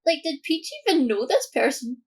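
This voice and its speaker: High-voiced young woman chatting. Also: expressive, moderate pace, darkish tone. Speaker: podcast co-host. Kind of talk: casual film discussion.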